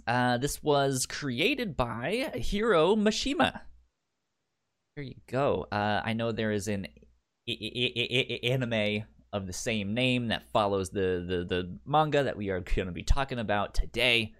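A man's voice: mid-range voice, animated, a little slow, fairly bright tone. A man co-hosting a podcast in casual chat, rambling, with many stammered restarts and the hiccups. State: has the hiccups. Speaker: podcast co-host.

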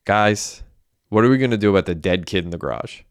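Man doing sardonic young guy, cursing, smooth low voice, audiobook. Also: a little fast, no echo, clean studio sound, natural voice. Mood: anxious. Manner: sarcastic, swearing.

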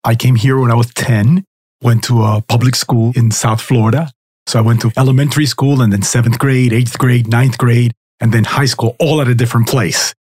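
A man's voice: medium pitch, steady tone, normal speed, a little mellow, breathy edge, no echo. A middle-aged man from Cuba, a lawyer guest chatting casually about his career, with an easy, self-deprecating manner. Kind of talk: casual interview chat.

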